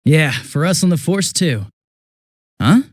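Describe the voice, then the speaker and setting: Bumbling young American detective, very animated. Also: male voice, fairly high pitch, slow, dry recording, clean studio sound. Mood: friendly, goofy. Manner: sheepish, stammering, blabbing, casual. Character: bumbling, chatty young male police detective, goofy and nervous. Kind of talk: English video game dub voice acting.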